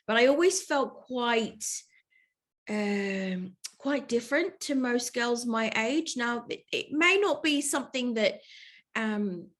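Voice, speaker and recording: A woman's voice: fairly high pitch, a bit bright. A young woman from London, speaking as a podcast guest in casual interview chat, thoughtful and hesitant with many fillers. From England, London. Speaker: podcast guest, yoga teacher.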